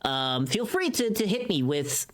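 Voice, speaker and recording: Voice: medium pitch, sing-song, unhurried, a bit bright. A streamer chatting casually on a livestream in a playful, teasing, sweary way.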